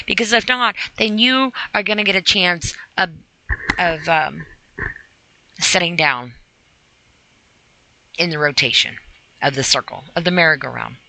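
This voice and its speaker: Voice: medium pitch, creaky, sharp tone, no room sound, rough recording. A podcast host reading a self-help list aloud with informal commentary, blunt, repeating phrases for emphasis and putting rhetorical questions straight to the listener.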